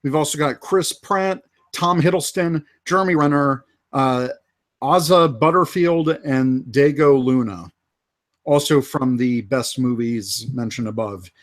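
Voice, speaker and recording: A man co-hosting a live fan podcast, casually going through toy and movie news with many pauses and fillers. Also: medium pitch. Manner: unhurried, lots of uh fillers. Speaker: podcast co-host.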